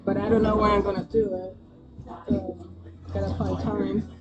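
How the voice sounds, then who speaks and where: A woman's voice: mid-range voice, level tone, moderate pace, dull audio. A woman with a Spanish accent hosting a live stream, chatting loosely to viewers in a rambling, hesitant way. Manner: rambling, hesitant, many fillers and restarts. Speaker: livestream host.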